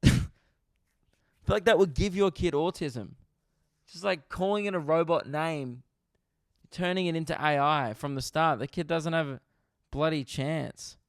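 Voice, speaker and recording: A man's voice: on the high side, measured pace, long pauses, no echo, clean studio sound. An Australian man hosting a comedy podcast, riffing casually, swearing freely and laughing at his own jokes. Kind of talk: casual solo podcast chat.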